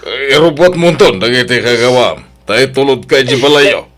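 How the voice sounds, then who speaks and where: A man's voice: mid-range voice, normal speed, natural voice. A man with an Ilocano accent playing an evasive, penny-minded husband in a melodrama. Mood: agitated, evasive. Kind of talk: Ilocano radio-style melodrama acting.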